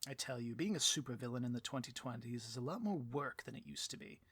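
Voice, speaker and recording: A man's voice: medium pitch, expressive, fast talker, a bit bright, slightly rough, no echo. A man playing a bloviating, petty supervillain boss in a comedic audio roleplay, with a pompous, melodramatic delivery and a taste for rants. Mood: self-important.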